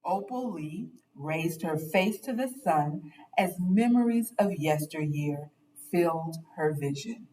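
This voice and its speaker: Slow-reading woman storyteller, slightly distant. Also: fairly low pitch, animated, warm tone, a little hiss or hum. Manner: dramatic, deliberate phrasing. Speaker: storyteller reading a picture book aloud. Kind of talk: expressive children's read-aloud narration.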